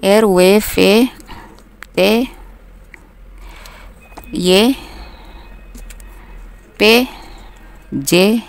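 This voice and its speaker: A woman from North Maluku with her regional accent, giving a gentle, unhurried tarot reading as its host. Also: mid-range voice, smooth. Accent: North Maluku Indonesian. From North Maluku, Indonesia. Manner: gentle, unhurried, reassuring, with soft laughs. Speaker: tarot reader. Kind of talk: casual tarot reading monologue.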